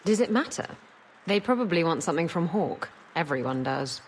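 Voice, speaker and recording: Woman doing dry blunt fantasy game sidekick, lowish voice, bit of noise behind. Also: expressive, normal speed, close to an everyday voice. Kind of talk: video game cutscene acting.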